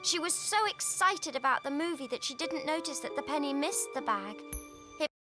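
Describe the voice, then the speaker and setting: A woman with a high voice narrates a children's storybook read-along. Her delivery is expressive and scripted, with music playing clearly behind her. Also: a little fast.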